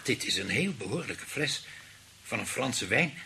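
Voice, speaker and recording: An actor playing an obliging, awkwardly polite nephew who hesitates and flatters in a radio play. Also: male voice, mid-range voice, unhurried, gravelly, a little hiss or hum, full character voice. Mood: politely flattering.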